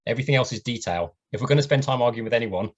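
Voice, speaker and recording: Male host, quick and earnest, deep-ish voice, dull call audio. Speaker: event host. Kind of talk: online event chat. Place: home video call. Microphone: video call. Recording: muffled lo-fi video call audio.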